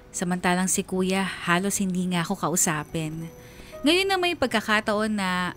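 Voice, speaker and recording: A woman's voice: mid-range voice, natural voice. A woman playing a sorrowful, earnest young letter writer with a Filipino accent, narrating a radio drama in the first person. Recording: music bed behind the voice.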